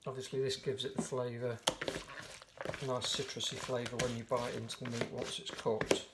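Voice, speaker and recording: Man, hoarse, relaxed chatty cooking demo, even tone, background noise. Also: mid-range voice, normal speed. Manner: relaxed, chatty, often corrects himself mid-sentence. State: hoarse, croaky voice. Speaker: home cook presenting a recipe. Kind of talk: casual step-by-step cooking demonstration. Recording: noisy home video recording.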